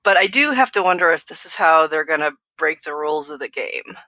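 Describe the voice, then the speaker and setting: A woman's voice: sits low, animated, a little fast, bright, forceful tone, dry recording. A woman co-hosting a podcast, talking through a book in a casual, tentative, musing way. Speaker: podcast co-host. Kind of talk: casual book discussion chat.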